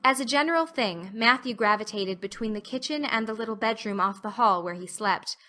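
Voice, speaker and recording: A woman narrates an audiobook. Her voice sits a little high and has a bright, forward tone. The delivery is scripted storytelling. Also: fairly quick.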